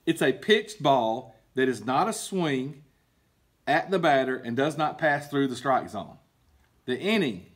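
A middle-aged man reading a picture book aloud to children as a coach, explaining in a deliberate, emphatic, instructive way. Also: mid-range voice. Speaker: baseball coach and PE teacher. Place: indoor school room with book shelves.

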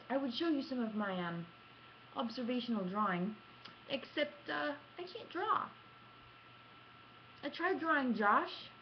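A young adult woman chatting casually to camera as a gaming vlogger, with an animated, self-deprecating manner and dramatic emphasis. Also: a little high. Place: small room at home, indoors. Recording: muffled lo-fi webcam audio, background noise.

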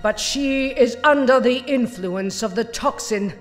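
A woman's voice: fairly high pitch, measured pace, lightly acted. A woman playing a haughty, short-tempered villain scientist in an audio drama, theatrical and scornful. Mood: cold, scheming. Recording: long hall reverb, music underneath.

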